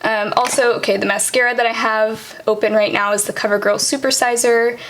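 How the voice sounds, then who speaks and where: A woman's voice: on the high side, fairly even intonation, fairly quick, a bit bright, a little hiss or hum. A young woman talking casually through her makeup, full of ums and likes, her voice tired and cracking at the end of the day. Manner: chatty, many ums and likes. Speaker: beauty vlogger. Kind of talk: casual product walkthrough. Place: home vanity table, indoors.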